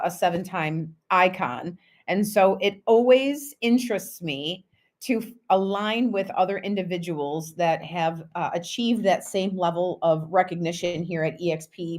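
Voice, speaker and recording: A middle-aged woman moderating an online panel, chatting casually with lots of ums and friendly asides. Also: lowish voice. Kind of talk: hosting a virtual panel.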